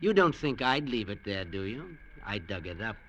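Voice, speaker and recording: An actor playing a sardonic, teasing painter on a resort island, dry and needling in a vintage radio drama style. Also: male voice, lowish voice, sing-song, normal speed, fairly bright tone. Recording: old radio recording with faint noise.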